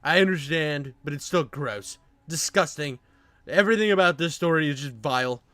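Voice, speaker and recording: Male reactor, slightly higher register, sardonic and exasperated, slangy casual rants, dry recording. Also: normal speed, pushed and bright, no room sound. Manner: sarcastic, exasperated, crude slangy ranting. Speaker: reaction video host.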